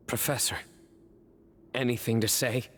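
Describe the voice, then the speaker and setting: A man's voice: mid-range voice, a bit bright, a little hiss or hum. An actor playing a serious, frank young man confronting his mentor in video game dialogue. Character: serious young male former student, frank and probing. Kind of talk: dramatic video game voice acting.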